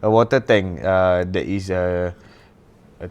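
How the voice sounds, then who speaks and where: A man's voice: low voice, fairly even intonation, a little slow, a little mellow. A man with a Singaporean accent, a religious teacher talking casually as a guest, often searching for his words. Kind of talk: casual interview talk with anecdotes.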